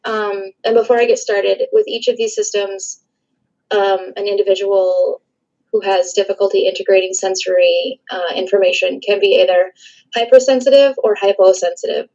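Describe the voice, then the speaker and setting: A young woman from the Chicago suburbs reading a prepared class presentation over a video call, steady, with frequent ums and small restarts. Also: mid-range voice, moderate pace, dull audio. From northern suburbs of Chicago. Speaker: student presenter. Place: small room indoors. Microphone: laptop webcam on a video call.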